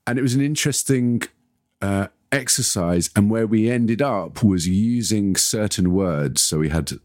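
Low-voiced man in reflective podcast conversation. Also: unhurried, darkish tone, no room sound, clean studio sound. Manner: reflective, searching for words. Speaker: podcast discussant, audio storyteller. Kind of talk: casual analytical conversation.

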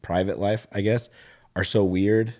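A young man with a slightly hoarse voice chatting casually as a podcast host, rambling and halting, with some casual swearing. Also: fairly low pitch, fairly even intonation, a little mellow. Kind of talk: casual chat.

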